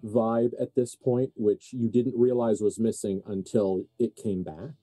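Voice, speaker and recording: Dark-voiced man narrating, in a roomy space. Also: lowish voice, fairly even intonation, unhurried, soft background music. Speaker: game master. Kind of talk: narrating a live tabletop roleplay game.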